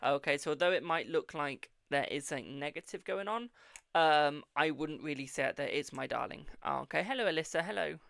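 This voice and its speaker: A man's voice: a little high, a little fast, vocal fry, fairly bright tone, dry recording, studio quality. A man hosting a live-stream tarot reading, answering viewers' questions in a chatty, reassuring way and calling them "my darling".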